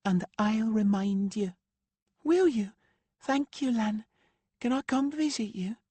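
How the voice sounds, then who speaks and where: A man voicing an excited young woman who thanks a rider with delight. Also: extremely high pitch, unhurried, slightly rough, no echo, voice pushed up, heavily acted. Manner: breathless, delighted.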